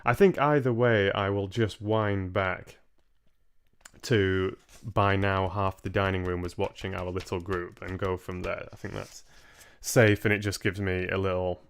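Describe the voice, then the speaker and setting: A young man hosts a reading stream in a casual, conversational way. His voice sits fairly low. He takes his time, speaking very slowly from a room at home. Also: smooth. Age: 20s. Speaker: live-stream book reader and host. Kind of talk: casual livestream chat and reading aloud. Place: small home room, indoors.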